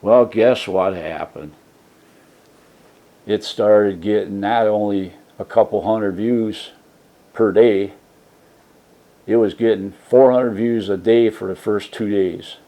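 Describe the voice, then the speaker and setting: Soft-spoken man with a very low, deep voice; a casual rambling vlogger full of fillers and restarts. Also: steady tone, slow, pauses often. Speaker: YouTube channel host. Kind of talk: casual rambling vlog talk. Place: trailer home. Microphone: desk mic set for a soft voice.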